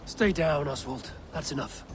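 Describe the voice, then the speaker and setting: An actor playing a bold, taunting Viking raider leader in a video game, speaking in a measured, commanding way. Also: male voice, on the high side, noisy background, lightly acted. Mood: stern encouragement. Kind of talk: video game cutscene acting.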